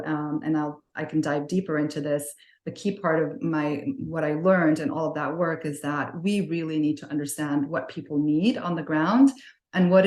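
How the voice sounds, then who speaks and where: A middle-aged woman answering interview questions in a thoughtful way, with many fillers and restarts. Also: sits low. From Libya. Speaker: podcast guest. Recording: roomy echo.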